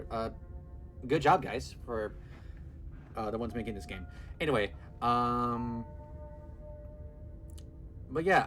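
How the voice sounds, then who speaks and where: A man's voice: medium pitch, low-quality recording. A man casually commenting on a horror game he is playing, rambling and hesitant, with frequent fillers and laughter. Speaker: gameplay video host. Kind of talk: casual let's-play commentary.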